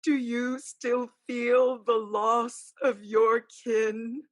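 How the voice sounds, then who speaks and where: Eerie spirit woman, acted by an actress, high-pitched, very slow, incantatory, scheming, theatrical staged reading. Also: little pitch movement. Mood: solemn, coaxing. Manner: slow, incantatory, drawn-out calling.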